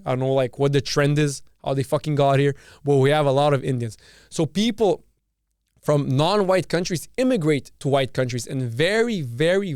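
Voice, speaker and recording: A man's voice: medium pitch, darkish tone, clean tone, no echo, clean studio sound. Blunt, profane man ranting solo. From Serbia. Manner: blunt and profane, repeats words and restarts. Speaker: podcast host. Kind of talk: opinionated solo monologue.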